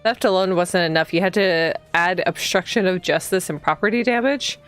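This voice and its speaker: A woman livestreaming a visual novel, reading the game text aloud and chatting casually as she thinks out loud and reacts to the story. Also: on the low side, moderate pace, smooth. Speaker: streamer playing a visual novel. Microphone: streaming microphone. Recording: background music behind the voice. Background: game music.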